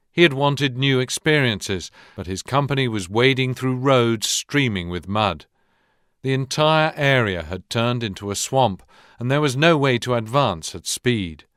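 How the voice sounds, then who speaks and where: A man voicing the narrator of a war game, reading scripted history in a measured, serious, documentary manner. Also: medium pitch, fairly bright tone, smooth, dry recording, studio quality, natural voice.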